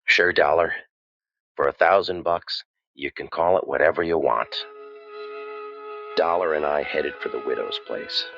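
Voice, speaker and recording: An actor playing a cynical, wisecracking waterfront private eye, narrating old-time radio noir in a deadpan, world-weary manner. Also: male voice, a bass voice, measured pace, vocal fry, long pauses, no room sound, highs cut off, faint music behind, exaggerated voice. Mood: sardonic. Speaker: narrator and lead detective.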